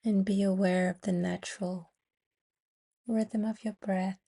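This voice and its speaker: A woman guiding a yoga nidra meditation, giving soothing, unhurried instructions with long pauses between phrases. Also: medium pitch, level tone, creaky, warm tone, husky. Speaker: meditation guide and podcast host.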